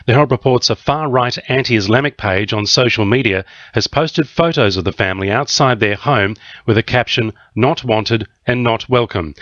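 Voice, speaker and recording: A man's voice: on the low side, a bit bright, no echo, highs cut off. A man with an Australian accent reading a scripted radio news bulletin. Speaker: news reader. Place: dry radio studio.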